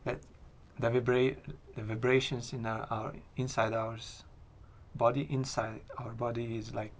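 A man with a Lithuanian accent speaks English haltingly, restarting words as he feels his way through a sentence. His voice has a gravelly, creaky edge at a mid pitch, and faint background noise sits in the room. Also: flat delivery. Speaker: podcast guest. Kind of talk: interview conversation.

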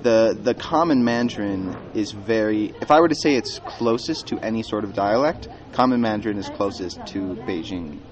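A man's voice: fairly low pitch, moderate pace, hardly any pauses. A young man in his late teens from Minnesota, chatting casually and explaining things thoughtfully, sometimes restarting his sentences. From Minnesota, USA. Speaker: traveler in conversation. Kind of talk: casual chat. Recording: busy street crowd behind.

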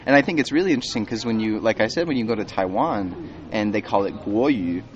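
A man's voice: lowish voice, fast talker, muffled recording. A young man in his late teens from Minnesota, chatting casually and explaining things thoughtfully, sometimes restarting his sentences. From Minnesota, USA. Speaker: traveler in conversation. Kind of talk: casual chat. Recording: busy street crowd behind.

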